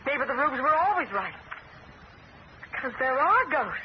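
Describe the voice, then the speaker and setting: A grandiose phony medium. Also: female voice, high-pitched, big pitch swings, unhurried. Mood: streetwise. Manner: grandiose, then streetwise and blunt. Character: fake fortune-teller woman, theatrical swindler. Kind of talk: 1970s radio drama acting. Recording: hissy muffled old radio broadcast.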